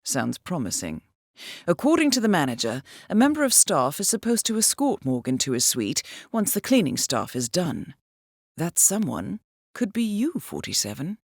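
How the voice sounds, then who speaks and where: A cool intelligence handler briefing an assassin in a spy game. Her voice moves with expressive, varied intonation while staying composed and clipped, with wry understatement. The sound is close and dry. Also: on the low side, normal speed, dry recording. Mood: wry, intrigued. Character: poised, dry-witted female intelligence handler guiding an assassin. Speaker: mission handler. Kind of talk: scripted game briefing dialogue.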